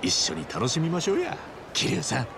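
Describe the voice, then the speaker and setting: A man's voice: fairly high pitch, wildly swooping pitch, a little fast, background noise, exaggerated voice. An actor playing a boisterous, big-hearted ex-yakuza man in a video game, theatrical and earnest. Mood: upbeat, earnest. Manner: theatrical, earnest, rough-and-ready. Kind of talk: Japanese video game voice acting.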